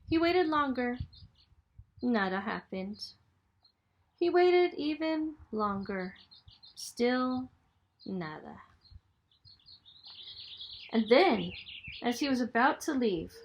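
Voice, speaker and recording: A woman reading a children's story aloud in a dramatic, expressive storytelling manner. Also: on the high side, slight background noise. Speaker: storybook reader, school superintendent.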